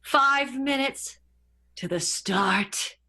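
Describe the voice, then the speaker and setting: Woman playing breathy, very slow, seductive stage manager. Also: sits high, sharp tone, heavily acted. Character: romantic, sexy, charismatic female stage manager. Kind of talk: improvised comic radio soap acting.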